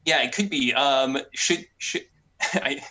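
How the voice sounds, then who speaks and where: A man chats as a player at a tabletop role-playing game. His voice sits at a medium pitch with a bright, sharp tone, and his talk is casual. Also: takes it slowly.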